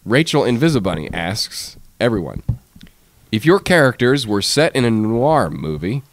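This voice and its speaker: A man's voice: medium pitch, very animated, moderate pace. A man co-hosting a podcast in loose, casual conversation. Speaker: podcast co-host. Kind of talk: casual chat.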